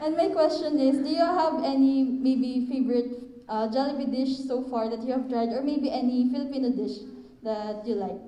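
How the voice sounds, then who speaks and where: A woman's voice: high-pitched, moderate pace, mellow, muffled recording. A woman fan asking a question at a convention panel, shy and polite with hesitations. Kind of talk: audience question. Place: convention hall. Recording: echoey hall with clear noise.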